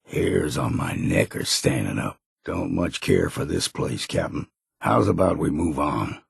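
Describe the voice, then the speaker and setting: An actor playing a gruff, weary old hunter in a video game, grumbling and wary in a folksy, plainspoken rustic drawl. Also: male voice, extremely low pitch, sing-song, a little slow, no echo, studio quality, full character voice. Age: older. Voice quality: gravelly, husky. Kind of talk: video game character voice lines.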